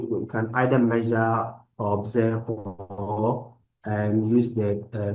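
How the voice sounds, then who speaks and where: A man lecturing online from his laptop, explaining research methods in a halting way with many restarts. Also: medium pitch, a little mellow, rough recording. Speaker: university lecturer. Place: at a laptop on a video call. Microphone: laptop microphone.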